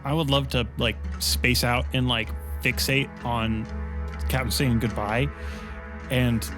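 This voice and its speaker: Flat-toned man at a gaming table. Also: medium pitch, slow pace. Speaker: player in a tabletop game. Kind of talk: casual table talk. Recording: music bed under the voice.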